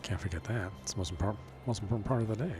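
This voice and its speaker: A man's voice: on the low side, racing. A man giving casual let's play commentary, rambling and musing aloud with a wry touch. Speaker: gaming streamer. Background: game music.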